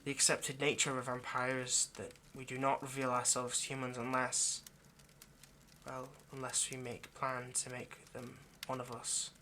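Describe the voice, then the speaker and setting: A man plays an ageless male vampire in an intimate ASMR roleplay. He is a possessive but remorseful lover, explaining himself in halting, earnest pleas that can sink toward a hush. Some background noise sits behind the voice. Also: medium pitch, monotone. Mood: guarded, explaining. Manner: halting, earnest, pleading, dropping to near-whisper.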